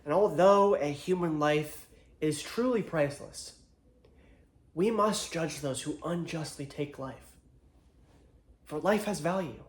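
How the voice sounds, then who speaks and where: A man playing an earnest, solemn ex-Marine attorney, delivering a deliberate, rhetorical courtroom monologue with dramatic pauses. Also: a little high. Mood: grave, resolute. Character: earnest male attorney, proud ex-Marine, solemnly addressing jury. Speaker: actor. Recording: echoey room.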